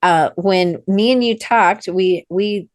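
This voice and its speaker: An American woman hosts an interview podcast, and her voice sits at a middle pitch. She talks in a bubbly, rambling way, full of restarts and quick asides, and the sound is dry and close. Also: dry recording. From United States. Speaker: podcast host. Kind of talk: casual interview hosting.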